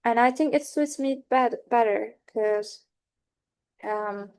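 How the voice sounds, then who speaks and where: Young turkish woman giving a class talk, kinda high voice, stops and restarts a lot. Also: slow, a little mellow, clean tone. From Turkey. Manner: hesitant, many fillers and restarts. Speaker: student presenter. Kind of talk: class presentation.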